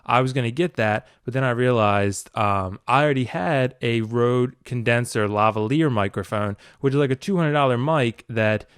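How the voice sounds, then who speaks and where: Clear-voiced man giving a casual walkthrough. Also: mid-range voice, normal speed, few pauses, a bit bright, no room sound, studio quality. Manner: informal, rambling, talking through a demonstration. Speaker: video tutorial host, hobbyist. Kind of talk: casual unscripted product walkthrough.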